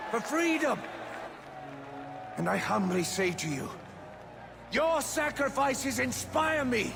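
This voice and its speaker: An actor playing a grandiose, falsely humble tyrant king in a game, giving a theatrical political speech with dramatic pauses. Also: extremely high pitch, creaky, full character voice. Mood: solemn, grandiose. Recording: loud music under the speech.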